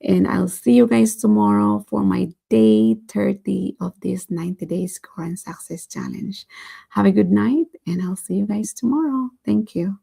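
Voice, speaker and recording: A woman vlogger giving a motivational talk to her viewers, earnest and repeating "right?", her voice going hoarse. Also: medium pitch, normal speed, dark tone. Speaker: vlogger hosting a daily challenge.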